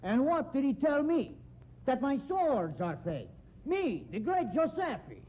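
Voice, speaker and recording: High-voiced, indignant Italian sword swallower. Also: male voice, huge pitch swings, slow pace, full character voice. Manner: indignant, self-important, theatrical. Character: vain, aggrieved male Italian sideshow sword swallower. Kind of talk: 1950s radio drama acting. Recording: muffled narrow-band old radio recording.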